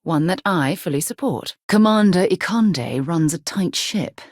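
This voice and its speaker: An actress playing an earnest, principled woman space explorer and leader in a video game, with a crisp, articulate, slightly husky delivery. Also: lowish voice, animated, measured pace, no echo, studio quality. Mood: concerned, firm. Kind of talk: scripted game dialogue.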